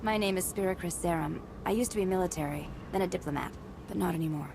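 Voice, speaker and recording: A woman's voice: on the low side, quick pace, gravelly, background noise. A woman playing an earnest, secretive ex-military diplomat and zealous patriot in a video game, speaking persuasively and solemnly. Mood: solemn, proud. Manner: persuasive, solemn, hushed at times.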